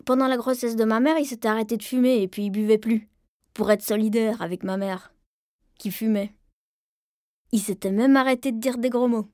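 A woman's voice: a little high, a little mellow, dry recording, close to an everyday voice. A woman playing a cheeky fourteen-year-old boy who tells his own story in a plain, colloquial, childlike way, as in an intimate radio drama. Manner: colloquial, matter-of-fact, childlike. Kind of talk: intimate first-person radio drama narration. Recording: music faintly behind a dry studio voice.

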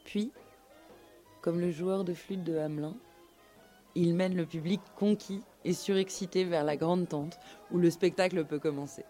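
A woman narrating a scripted radio documentary story in measured, literary phrasing. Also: lowish voice, steady tone, mellow, soft background music.

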